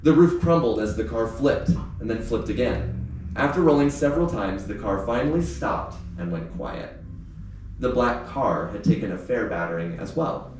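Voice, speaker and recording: A man's voice: lowish voice, a little mellow. A man, an author, talking informally and reading his story aloud at a live book event. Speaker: teacher. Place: indoor venue with a small live audience. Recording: very echoey room, distant mic, faint noise.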